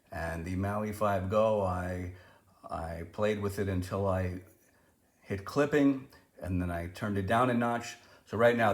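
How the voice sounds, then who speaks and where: A man giving a casual, conversational product review and demonstration, feeling his way through sentences and correcting himself. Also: fairly low pitch.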